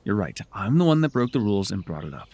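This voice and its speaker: A man's voice: lowish voice, big pitch swings, quick pace, darkish tone, dry recording. A man playing a smug, teasing telepath supervillain who mentors a hero, in a wry, theatrical yet conversational ASMR roleplay.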